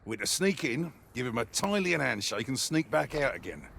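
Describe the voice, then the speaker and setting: An actor playing a wry, world-weary mercenary soldier in a video game, with a colloquial, grumbling delivery. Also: male voice, mid-range voice, sing-song, normal speed, creaky, fairly bright tone, slight background noise. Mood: sardonic. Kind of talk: scripted in-character mission briefing monologue.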